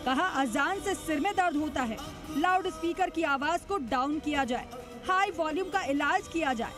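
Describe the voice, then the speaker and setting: High-pitched woman narrating a news report. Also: expressive, moderate pace. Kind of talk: scripted news voice-over. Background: music under the voice.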